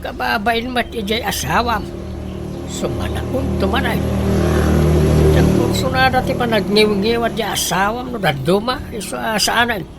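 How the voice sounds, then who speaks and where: A woman's voice: big pitch swings, moderate pace, gravelly. Woman acting weary, grumbling old husband, heavy noise. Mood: weary, protesting. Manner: grumbling, excuse-making. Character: lazy, weary old husband dodging tricycle driving work. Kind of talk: Ilocano comedy radio drama acting. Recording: very noisy, vehicle and ambient rumble.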